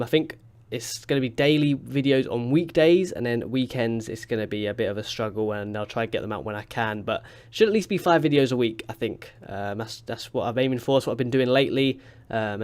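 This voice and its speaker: A man with a stuffy, blocked-up voice from a cold, chatting casually and rambling as he comments on his football management game. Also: medium pitch. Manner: chatty, rambling with fillers and restarts. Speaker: gaming YouTuber. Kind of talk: casual let's-play commentary.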